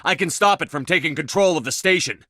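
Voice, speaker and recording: An actor playing a resolute, no-nonsense military commander in a science fiction video game, with firm, clipped, commanding delivery. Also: male voice, a little high, fast talker, sharp tone, dry recording, studio quality. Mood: intense, confrontational. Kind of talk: video game voice acting, scripted dialogue lines.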